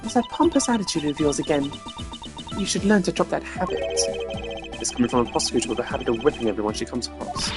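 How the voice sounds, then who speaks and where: A man voicing a stern, pompous video game prosecutor who speaks formally and gives sharp commands. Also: on the high side, animated, fast talker. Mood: haughty, challenging. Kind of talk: theatrical voice acting of video game dialogue. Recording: music behind the voice.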